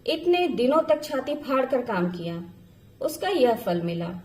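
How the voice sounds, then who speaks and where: Woman voicing an angry, defiant neglected wife, high-pitched. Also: very animated, measured pace. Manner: sharp, taunting, quarrelsome.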